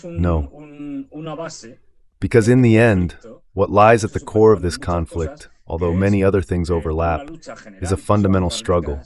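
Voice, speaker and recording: A man's voice: low voice, normal speed, pauses often, darkish tone. A synthetic male voice delivering translated political commentary, with original speech heard underneath. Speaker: AI voice reading the guest's English translation. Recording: other voices murmuring underneath. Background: original Spanish speech underneath.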